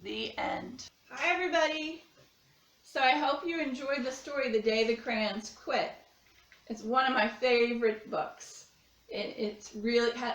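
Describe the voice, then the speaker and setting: A woman's voice: fairly high pitch, fairly bright tone, echoey room, recorded from a distance. A woman reading to children and giving casual art instruction. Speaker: children's story reader and art teacher.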